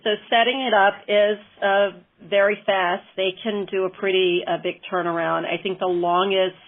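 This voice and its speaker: A woman explaining a workplace program informally on a conference call, with measured, hesitant phrasing. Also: medium pitch, little pitch movement. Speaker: corporate philanthropy staffer explaining a program. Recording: muffled, band-limited conference call line.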